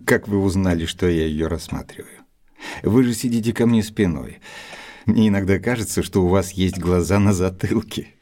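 An actor playing an earnest, admiring gentleman companion, narrating in a measured, literary audio drama style. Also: low-pitched, natural voice. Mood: thoughtful.

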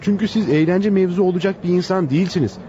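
An actor in a Turkish radio drama playing an earnest, insistent suitor, speaking in an ardent, declamatory manner. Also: male voice, high voice, quick pace, natural voice. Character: earnest, insistent suitor devoted to a fallen woman. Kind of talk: melodramatic Turkish radio theatre acting. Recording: muffled, noisy old radio recording.

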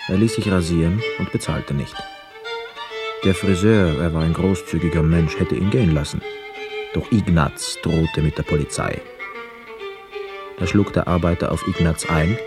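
An actor playing a poor, wistful young man who narrates a literary radio drama in a measured, reflective manner. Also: deep voice, over music, natural voice. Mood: sombre narration. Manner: measured, reflective, hushed inner asides.

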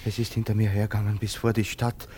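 A man's voice: lowish voice, flat delivery, fast, warm tone, slight background noise, lightly acted. An actor playing a distraught, brooding poor man in a radio play, speaking in fragmented phrases. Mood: haunted, brooding. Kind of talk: theatrical radio drama.

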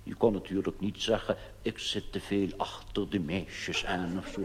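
A man's voice: low voice, fairly even intonation, normal speed. A man performing a comic stage monologue as an old-fashioned elderly churchgoer who rambles hesitantly and chattily about his youth to the youngsters. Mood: confiding, reminiscing. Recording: reverberant hall with faint background noise.

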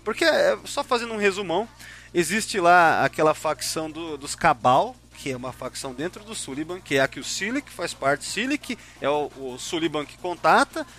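A man's voice: fairly high pitch, expressive, moderate pace, fairly bright tone. A Brazilian man hosting a casual discussion of a sci-fi series, explaining insistently and often checking that others agree. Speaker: podcast host leading the discussion.

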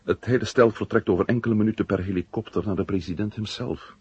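A man's voice: lowish voice, fast, darkish tone, slightly rough, no room sound, dull audio. An actor playing a wry, confiding male journalist in a 1970 science-fiction radio drama. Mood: urgent, confiding. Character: adult male journalist, blunt, wry, worried about his brother.

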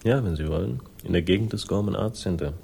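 An actor playing a world-weary male sleuth in a German radio crime drama, speaking with dry, laconic irony and a gravelly voice. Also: low voice, lively intonation, fast, warm tone, full character voice. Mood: needling, skeptical. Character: sardonic, world-weary male crime sleuth telling his own story. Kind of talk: 1960s German radio crime drama, first-person narration and dialogue.

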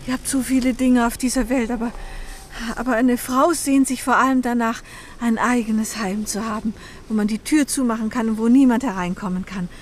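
An actress playing a timid, yearning young companion in a radio play, speaking earnestly and meekly. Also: female voice, on the high side, fairly even intonation, a little fast, a little hiss or hum, lightly acted. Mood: wistful, yearning. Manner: earnest, meek, wistful. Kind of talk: German radio play acting.

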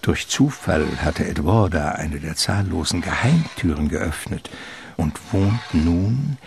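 A man narrating a literary radio play in a measured, ornate storytelling manner. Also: sits low, expressive, gravelly.

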